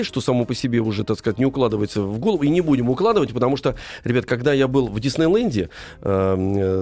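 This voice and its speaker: A man's voice: mid-range voice, darkish tone. A man hosting a casual live radio interview, speaking informally in a rambling, hesitant way. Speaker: radio host.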